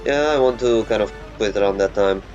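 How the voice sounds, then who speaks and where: Guy muttering through a flight game, lowish voice, game music going behind. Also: a little mellow, lo-fi sound. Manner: muttering, halting, thinking aloud. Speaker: gamer. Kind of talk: gameplay commentary.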